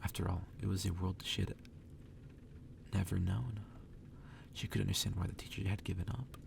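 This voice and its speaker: A man reading a story aloud in a hushed, breathy ASMR whisper. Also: fairly even intonation, moderate pace, background noise. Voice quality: breathy whisper, gravelly low voice. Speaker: ASMR reader.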